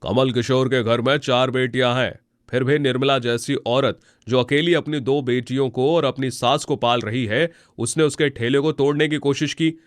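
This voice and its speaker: A man's voice: medium pitch, dry recording, studio quality, close to an everyday voice. A stern, fair head of a village council, acted by a man. He speaks solemnly and formally in a mid-range voice, as in a storybook judgement.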